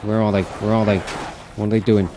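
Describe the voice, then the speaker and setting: A man's voice: lowish voice, level tone, brisk, background noise. A man in his thirties giving casual, exasperated, sweary gameplay commentary as he streams, close on the mic indoors. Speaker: video game streamer. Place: indoor room, seated at gaming setup. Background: game music and sound effects.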